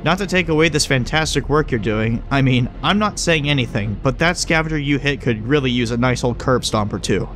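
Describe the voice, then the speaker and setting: A man's voice: mid-range voice, a little fast, few pauses, fairly bright tone, soft background music, lightly acted. A man playing a wry, teasing creature that passes as a human mentor in a horror audio drama. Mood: teasing praise. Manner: wry, breezy, teasing, coaching. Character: flippant, chummy man who is secretly a swarm of bugs.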